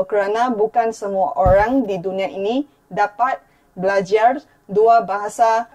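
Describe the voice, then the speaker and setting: Young Malaysian-accented woman YouTuber, bright higher register, earnest and chatty, mixes languages mid-sentence. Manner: earnest, code-switching, often restarts words. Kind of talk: vlog commentary.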